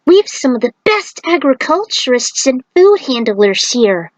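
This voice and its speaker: An eager young martial arts monk acted out by a woman reader, proud and emphatic. The pitch is lifted high above ordinary talk, in a dry storybook reading. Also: very animated, measured pace, dry recording, muffled recording, heavily acted.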